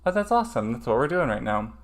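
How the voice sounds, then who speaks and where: A man's voice: mid-range voice, very animated, fast talker. A man streaming a casual study session, thinking aloud hesitantly with lots of ums.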